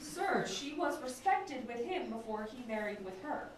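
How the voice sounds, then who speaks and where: A woman's voice: on the high side, animated, measured pace. A woman student reading old comic stage dialogue aloud in a classroom, picked up away from the microphone. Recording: very echoey room, distant mic, faint noise.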